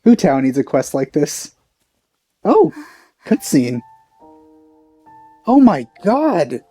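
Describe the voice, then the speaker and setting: Let's play host, male. Mellow tone, informal rambling reaction commentary, faint music behind. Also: a little high, huge pitch swings, slow, breathy edge. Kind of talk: casual gameplay reaction commentary.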